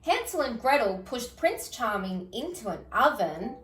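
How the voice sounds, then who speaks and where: A woman reading a children's fairy tale aloud in an expressive storytelling style. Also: on the high side, measured pace, echoey room, recorded from a distance. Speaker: storybook reader.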